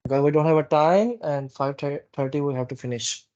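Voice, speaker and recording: A man giving a live software training walkthrough in a halting, instructive way, often repeating phrases. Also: on the high side. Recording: dull, muffled lo-fi meeting recording.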